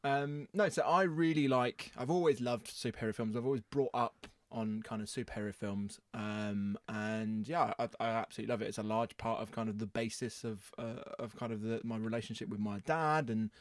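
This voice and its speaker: A man's voice: medium pitch, steady tone, fairly quick, few pauses, no echo, studio quality. A man co-hosting a podcast in casual, playful banter with mock-indignant teasing. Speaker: podcast host.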